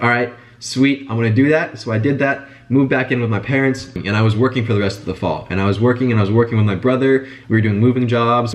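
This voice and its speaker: Fast-talking young man with a stuffy nose. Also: mid-range voice. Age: young adult. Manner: fast, chatty, informal. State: congested, sick. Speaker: business vlogger. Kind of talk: casual vlog storytelling.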